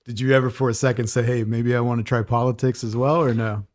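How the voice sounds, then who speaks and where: A man hosting a podcast, giving upbeat hype-style introductions and chiming in with quick affirmations. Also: medium pitch, fairly even intonation, a little mellow. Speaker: podcast host. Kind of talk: casual interview.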